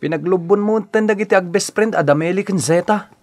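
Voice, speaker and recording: A man's voice: high voice, brisk, no room sound, lightly acted. A man acting a disapproving, earnest young friend in a radio drama, with a Filipino accent. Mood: scolding.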